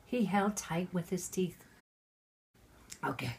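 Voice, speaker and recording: A middle-aged woman who is drunk, reading aloud haltingly with chatty asides, stumbling over and repeating words. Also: lowish voice, expressive, darkish tone. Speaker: mother reading a Christmas poem aloud.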